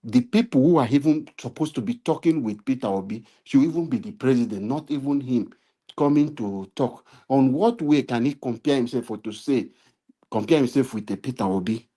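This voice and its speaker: A man's voice: medium pitch, normal speed, dark tone. A Nigerian man giving impassioned political commentary, preachy, repeating points and building to emphatic appeals. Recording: live stream.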